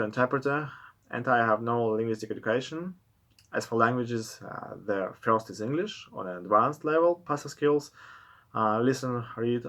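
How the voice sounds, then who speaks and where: A man with a Russian accent and Russian as his first language, talking to camera in careful, halting English full of pauses. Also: medium pitch, fairly bright tone. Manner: careful, halting non-native English with many filler pauses. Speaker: vlogger. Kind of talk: casual talk to camera.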